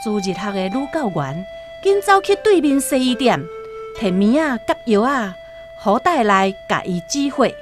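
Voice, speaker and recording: A woman's voice: fairly high pitch, big pitch swings, normal speed. A woman with a Taiwanese accent narrating a scripted literary radio story expressively. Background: soft plucked-string music.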